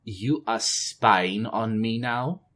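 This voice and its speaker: A man's voice: on the low side, drawn-out words, a bit bright. A man voicing a haughty troll queen. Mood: suspicious. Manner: cold and disdainful. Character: haughty, short-tempered female troll queen.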